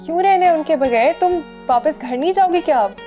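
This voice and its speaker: An actress playing a young woman's practical, soothing friend who gently teases her, over background music. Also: sits high, expressive, a little fast. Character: caring, teasing young female friend.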